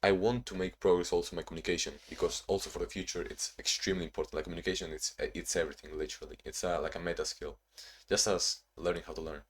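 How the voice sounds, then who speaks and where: Low-voiced young man, rambling vlog talk. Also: fairly even intonation, quick pace, hardly any pauses. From Spain and Ukraine. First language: Spanish or Ukrainian. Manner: rambling, self-reflective, frequent restarts. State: tired from little sleep. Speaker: vlogger. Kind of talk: casual vlog talking to camera.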